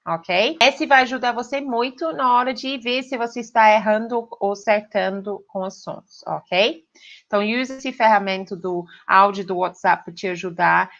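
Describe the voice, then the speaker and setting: A woman's voice: mid-range voice, creaky, fairly bright tone. Woman teaching, checking in with "ok?". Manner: direct, addressing students, frequent "ok?" checks. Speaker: English teacher. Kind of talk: online pronunciation lesson. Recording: slightly dull lo-fi recording.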